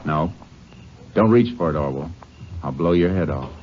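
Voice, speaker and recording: An actor playing a laconic, shrewd Texas Ranger in an old-time radio western, with an American accent and terse, folksy cowboy talk. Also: male voice, a bass voice, lively intonation, normal speed, a little hiss or hum. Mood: menacing. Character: laconic Texas Ranger, shrewd, steady lawman posing as drifter. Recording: muffled vintage radio recording, music behind.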